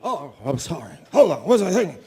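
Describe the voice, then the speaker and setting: An actor playing a doddering, scatterbrained, emotional old character in a sci-fi parody, stammering in a husky, creaky voice at a live stage reading. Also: male voice, a little high, very animated, mellow. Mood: befuddled, apologetic. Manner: halting, stammering, scatterbrained delivery. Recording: echoey convention hall reverb.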